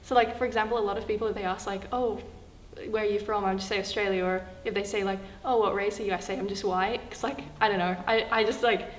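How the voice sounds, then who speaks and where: A woman's voice: mid-range voice, steady tone, fast. A teenage girl with an American accent, talking in a chatty way with many fillers in a documentary interview. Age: teens. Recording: echoey room with faint hum.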